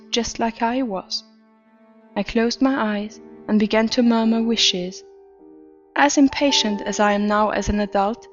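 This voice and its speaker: A woman playing a troubled adult narrator confessing a twisted, attention-starved childhood in a deliberate horror story reading. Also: fairly high pitch, muffled recording, close to an everyday voice. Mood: wistful, ominous. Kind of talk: scripted first-person horror story narration. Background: background music.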